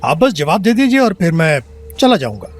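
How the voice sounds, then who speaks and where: A man's voice: a little high, big pitch swings, a little fast, soft background music. A man acting a suave, commanding police officer in his twenties in an audio drama, formal and mixing English into his speech. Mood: smooth, probing. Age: young adult.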